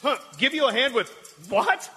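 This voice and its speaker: Insistent factory foreman, extremely high, piercing, bossy, radio theatre, hall reverb. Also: big pitch swings, normal speed, pushed and bright, heavily acted. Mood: flustered. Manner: insistent, commanding. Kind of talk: comic radio theatre acting. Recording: live hall reverb, faint noise.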